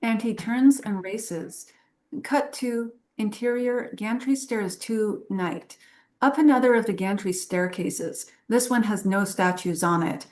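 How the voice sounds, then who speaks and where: A woman's voice: medium pitch, slow, a little mellow. A woman giving scripted narration, reading stage directions aloud at a table read.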